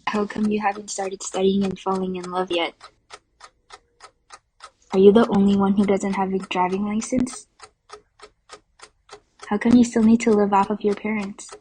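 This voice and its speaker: A woman's voice: medium pitch, level tone, moderate pace, long pauses, dark tone, a little hiss or hum, poor audio. A woman in a scripted voice-over, asking a string of pointed, questioning lines.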